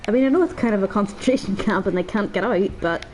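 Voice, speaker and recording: A woman's voice: medium pitch, fast, a little mellow, a little hiss or hum, low-quality recording. Chatty woman gamer with slightly stuffy nose. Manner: chatty, rambling, self-deprecating. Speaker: gaming video host. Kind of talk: casual let's play commentary.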